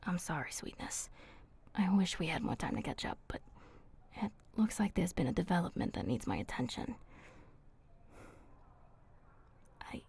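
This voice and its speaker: A woman voicing a flirty, protective female vampire in a breathy, husky, intimate ASMR roleplay. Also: on the low side, big pitch swings, fairly quick, darkish tone, heavily acted. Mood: apologetic, tender. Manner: intimate, coaxing, casual slangy speech.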